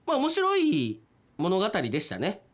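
Lively, teasing male radio host. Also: sits high, unhurried. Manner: teasing, breaks into chuckles. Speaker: radio show host interviewing guests. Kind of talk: casual post-recording chat.

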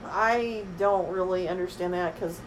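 A middle-aged woman with a very deep voice, chatting bluntly and matter-of-factly as a podcast guest. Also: measured pace, slight background noise. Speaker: guest, the host's mother. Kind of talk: casual chat.

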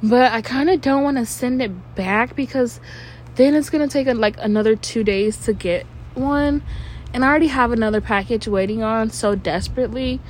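A woman's voice: on the high side, moderate pace, a little hiss or hum, poor audio. Stuffy-nosed young woman rambling at home. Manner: rambling, trips over words, swears casually. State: stuffy nose. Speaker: podcast host. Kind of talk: casual rambling monologue.

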